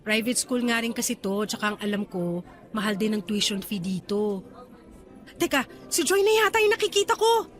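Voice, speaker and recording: An actress playing a protective, feisty young aunt with a Filipino accent in a radio drama, expressive in delivery. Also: female voice, medium pitch, moderate pace, soft background music, natural voice. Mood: chatty. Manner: expressive, talks back.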